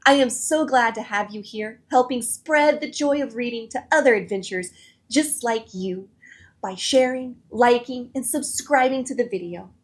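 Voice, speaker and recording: A woman hosting a children's read-aloud show, addressing young viewers in a friendly, animated, encouraging way. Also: fairly high pitch, moderate pace. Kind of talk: scripted presenting to children.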